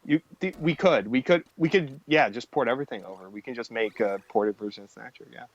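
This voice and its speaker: A man's voice: medium pitch, a little fast, dull audio. A man talking in an informal, sweary way in a casual tech and gaming chat. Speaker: programmer guest on a stream. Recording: music playing behind the talk. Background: music.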